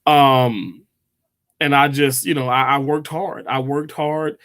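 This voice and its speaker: A man's voice: medium pitch, steady tone, takes it slowly, fairly bright tone. A man, chatting casually with viewers on a livestream, rambling with lots of fillers and restarts. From Mississippi, US. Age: 30s. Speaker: livestream host.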